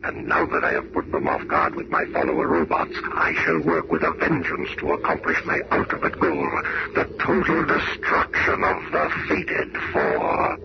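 An actor playing a masked, bitter, gloating supervillain with pompous, formal diction in an old-time radio superhero drama. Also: male voice, sing-song, normal speed, heavily acted. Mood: vengeful. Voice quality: very deep, gravelly. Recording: muffled, very noisy old radio recording.

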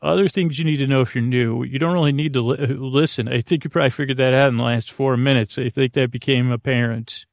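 A man in his forties with a creaky voice, hosting a sleep podcast in a rambling, halting, improvised monologue that keeps stumbling over itself. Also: mid-range voice, fairly even intonation, dry recording, studio quality. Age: 40s. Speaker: podcast host.

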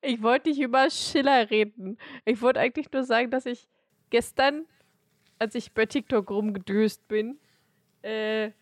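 A woman's voice: fairly high pitch, measured pace, no echo, studio quality. A woman co-hosting a podcast, chatting casually in an offhand, blunt way. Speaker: podcast co-host.

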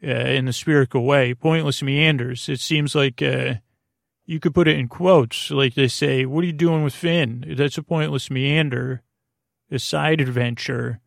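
A man with a creaky voice hosting a bedtime podcast, rambling soothingly and hesitantly through whimsical tangents. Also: mid-range voice, steady tone, measured pace, dry recording, clean studio sound. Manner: soothing, lulling delivery. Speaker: podcast host.

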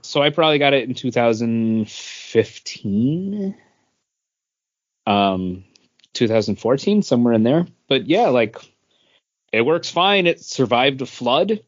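A man's voice: medium pitch, expressive, a little slow. A man in his thirties, co-hosting a podcast in casual chat, rambling with lots of fillers and restarts. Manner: rambling, filler-heavy storytelling. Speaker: podcast co-host. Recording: dull lo-fi sound.

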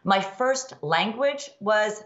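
Candid, animated American woman, slightly muffled. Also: mid-range voice, slow pace. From United States, Midwest. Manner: candid, animated, many fillers and restarts. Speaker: guest expert, law professor. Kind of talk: interview answers.

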